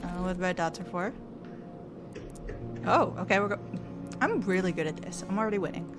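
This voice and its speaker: Chatty woman streamer, playful, music clearly under her voice. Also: fairly low pitch, a little fast. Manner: chatty, playful, talks to herself. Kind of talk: playing a game live. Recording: game music loud under voice.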